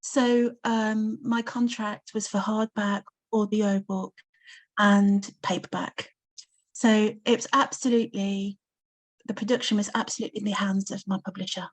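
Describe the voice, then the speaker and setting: A woman's voice: mid-range voice, steady tone, unhurried, poor audio. A woman with a Coventry English accent, a novelist guest talking in a casual interview, with lots of ums and easy laughter. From Coventry, England. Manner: chatty, many ums, warm laughter.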